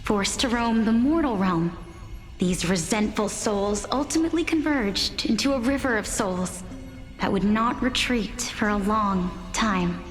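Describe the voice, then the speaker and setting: A woman's voice: mid-range voice, measured pace, lightly acted. An actress playing a young woman scholar in a game, telling a tale and teasing her friend with giggles. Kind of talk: game voice acting. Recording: game dialogue over music.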